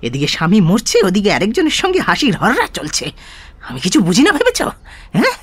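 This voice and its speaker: A man playing a bitter, diseased husband in an audio drama, accusing and taunting in a strained high voice. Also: wildly swooping pitch, fairly quick, fairly bright tone, breathy edge, exaggerated voice. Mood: jealous, accusing. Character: bitter, suspicious, diseased husband cursing his wife.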